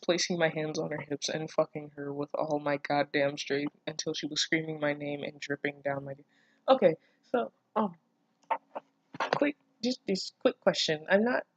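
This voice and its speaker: Female reaction reader, slightly low register, dry sound, incredulous and profane, breaking into the text with casual commentary. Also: no echo, highs cut off. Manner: sarcastic, incredulous, profane, interjecting. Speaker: host reading fan fiction with commentary. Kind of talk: casual reaction read-aloud.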